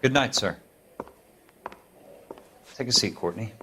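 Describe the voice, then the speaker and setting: An actor playing a bitter, principled young war veteran who scolds sharply, in a naturalistic video game performance. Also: male voice, fairly low pitch, slow, bright, forceful tone, slight background noise. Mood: polite, guarded.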